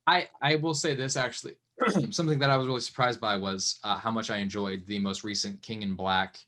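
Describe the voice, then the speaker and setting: A man's voice: fairly low pitch, fairly even intonation, fairly quick, pushed and bright. Rambling man hosting a comics chat. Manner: rambling, hesitant, many fillers. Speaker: podcast host. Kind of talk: casual comics discussion.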